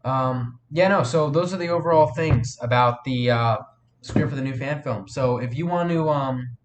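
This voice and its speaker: Casual male streamer, sniffly, hesitant and rambling, mid-pitched voice, faint background noise. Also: moderate pace, dull audio. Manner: rambling, hesitant, full of fillers and restarts; reads and answers viewer chat. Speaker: live streamer and fan film maker. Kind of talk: casual live stream chat.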